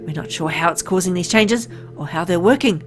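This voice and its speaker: A woman's voice: on the low side, a little fast, over music, close to an everyday voice. A woman voicing a frank, secretive medical insider in a visual novel, explaining in an earnest, conspiratorial way. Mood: grave, explanatory.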